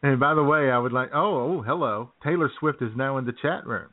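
A man's voice: medium pitch, fairly quick. A man co-hosting a comedy radio show in casual, teasing banter. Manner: teasing, joking. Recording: tinny, phone-like band-limited audio.

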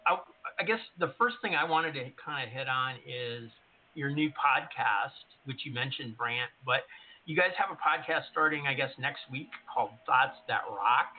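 A man's voice: mid-range voice, moderate pace. A man co-hosting a live online show from home, chatting casually and apologizing for the noise outside. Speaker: podcast co-host. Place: home office with yard work outside. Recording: tinny call-in audio with faint hiss.

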